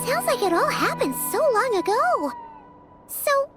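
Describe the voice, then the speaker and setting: A woman voicing a tiny childlike fairy companion in a video game, squeaky, bubbly and curious. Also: very animated, unhurried, loud music behind the voice, exaggerated voice. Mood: amazed, curious. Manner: bubbly, refers to herself by name.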